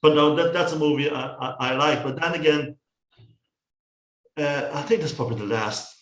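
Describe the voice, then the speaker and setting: A man's voice: on the high side, normal speed, fairly bright tone. A middle-aged man with a Dutch accent, a guest in a casual chat on a video call, talking with many restarts and repeated words. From Netherlands. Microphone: headset on a video call. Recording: roomy echo.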